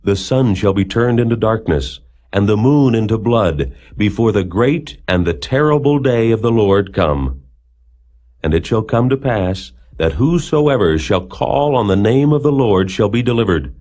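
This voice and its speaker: A man narrating scripture as a Bible reader, in a solemn, declamatory manner with measured phrasing. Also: fairly low pitch, expressive, a little mellow.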